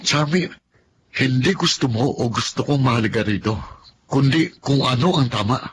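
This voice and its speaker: A man's voice: medium pitch, normal speed, fairly bright tone, slight background noise, natural voice. A man with a Filipino accent playing an earnest, firm young husband in a radio drama. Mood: frustrated, firm.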